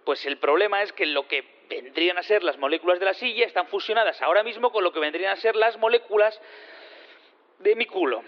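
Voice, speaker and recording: Actor as awkward male scientist witness, deadpan, halting, higher voice, audio comedy, slightly dull sound. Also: close to an everyday voice. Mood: awkward, sheepish.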